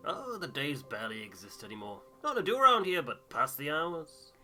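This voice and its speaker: A man's voice: on the high side, sing-song, moderate pace, sharp tone, faint music behind, heavily acted. Man playing a cheeky, chatty bored crewman. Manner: colloquial, rambling small talk. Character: chatty, bored working-class male expedition crewman, familiar and cheeky.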